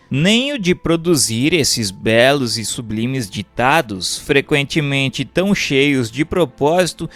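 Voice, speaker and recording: A Brazilian man reading formal scripted narration aloud in a measured, declamatory way, like an audiobook. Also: mid-range voice. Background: music.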